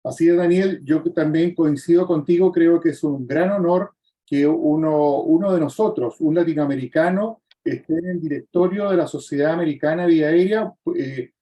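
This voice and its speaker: A man's voice: fairly high pitch, normal speed, warm tone. A man, moderating a webinar and giving closing remarks with frequent hesitations. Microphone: video call.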